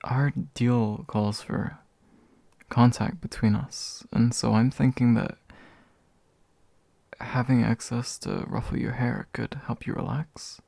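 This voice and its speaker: Man playing a gentle, slow-spoken courteous demon. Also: medium pitch, fairly even intonation, vocal fry, dry recording, heavily acted. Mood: concerned, tender. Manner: gentle, hesitant, formally polite. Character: courteous, gentle male demon offering comfort to his summoner. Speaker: ASMR voice actor. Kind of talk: soft ASMR roleplay acting.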